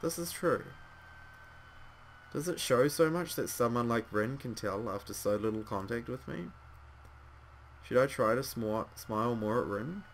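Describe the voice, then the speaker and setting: Hoarse man reading a visual novel. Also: medium pitch, animated, a little slow, long pauses, a little hiss or hum, low-quality recording. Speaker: let's play narrator.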